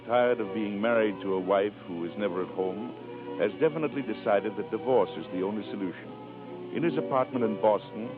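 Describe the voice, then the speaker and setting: A man narrating a radio drama in scripted 1930s style. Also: lowish voice. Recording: narrow tinny 1930s radio recording. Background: music.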